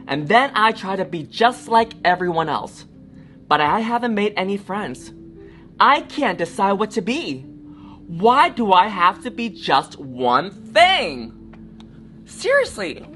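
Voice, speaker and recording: A woman's voice: mid-range voice, pitched above the performer's own voice. A woman voicing a sensitive young child in a storybook, earnest and plaintive, searching for where they belong. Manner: plaintive, expressive. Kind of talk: storybook character voice.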